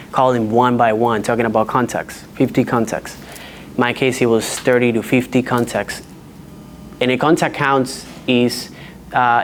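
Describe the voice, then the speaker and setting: Man from the dominican republic on a panel, earnest and measured, mid-pitch voice, bit of background hiss. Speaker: real estate agent on a panel. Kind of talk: panel discussion.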